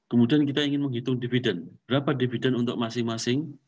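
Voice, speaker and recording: A man's voice: mid-range voice, steady tone, fast talker, darkish tone, husky, distant mic, highs cut off. A man lecturing on accounting, walking step by step through calculations, repeating figures and mixing in English accounting terms.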